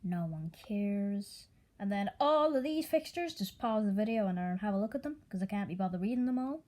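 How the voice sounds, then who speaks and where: A woman's voice: medium pitch, animated, a little fast, a little mellow. A young woman with an American accent, originally from Italy, reading out football results in a casual, offhand way with dry asides. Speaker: sports news presenter.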